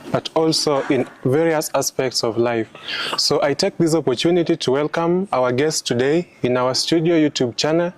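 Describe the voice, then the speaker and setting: Polite young student interviewer. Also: male voice, on the high side, moderate pace. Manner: polite, formal, some fillers. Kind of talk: formal interview questions.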